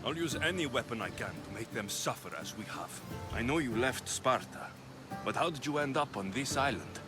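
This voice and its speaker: An actor playing a determined young Spartan warrior with a Greek accent, earnest and intense, in a video game. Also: male voice, mid-range voice, expressive, normal speed, close to an everyday voice. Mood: vengeful, then questioning. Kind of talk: cinematic game dialogue. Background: cinematic music.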